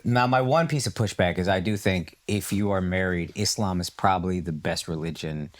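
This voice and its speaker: A man's voice: sits low, moderate pace. A man in casual chat, telling anecdotes as a podcast host with a teasing manner.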